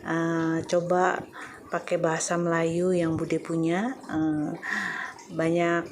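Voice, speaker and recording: A middle-aged woman from Indonesia with a Javanese accent, chatting casually to her viewers and pausing often with "eh". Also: deep voice, level tone, a little hiss or hum. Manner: hesitant, many filler "eh" pauses. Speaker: vlogger.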